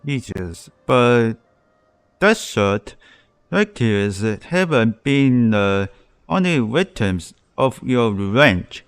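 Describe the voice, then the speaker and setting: Slow-talking male game streamer, clear voice. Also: medium pitch, big pitch swings. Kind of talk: live reaction commentary.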